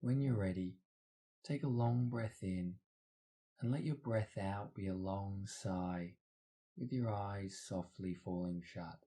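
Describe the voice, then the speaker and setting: A man's voice: low voice, fairly even intonation, slow pace, mellow. A man narrating a scripted guided meditation in a soothing, instructional manner.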